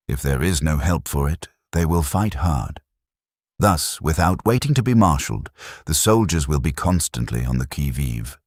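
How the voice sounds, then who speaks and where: A man narrating an audiobook of a classic treatise in measured, deliberate phrases. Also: extremely low pitch, breathy edge, dry recording, studio quality. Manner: measured, declarative delivery in short deliberate phrases.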